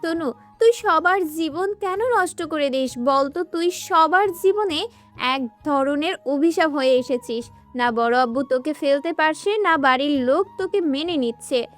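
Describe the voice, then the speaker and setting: A woman acting a very high-voiced sorrowful young wife. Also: unhurried. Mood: anguished, self-blaming. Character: sorrowful young wife, unloved and self-blaming; also sulking girlfriend. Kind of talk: dramatized audio romance story. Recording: music under the voice.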